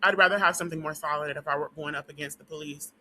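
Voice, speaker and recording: Woman vlogger, hoarse, losing her voice; very bright, tense tone; rambling, profane delivery. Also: sits low, steady tone, racing, slight background noise, poor audio. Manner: rambling and profane, with frequent "um" and "what do you call it". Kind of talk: casual rant to camera.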